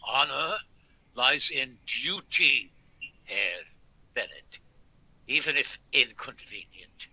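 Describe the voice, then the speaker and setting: An actor playing a proud, ruthless baron in a radio drama, speaking in a pompous, formal and archaic way. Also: male voice, medium pitch, animated, slow pace, sharp tone, slightly rough, full character voice, rough recording. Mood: stern, demanding. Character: proud, zealous, ruthless baron and hunter of the unholy.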